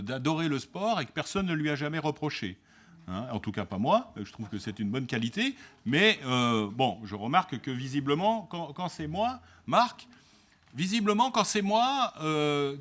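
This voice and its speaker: A man's voice: mid-range voice, a bit bright. Male councillor, sarcastic rebuttal, emphatic with restarts. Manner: combative, sarcastic and indignant. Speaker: local elected official. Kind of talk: council debate speech.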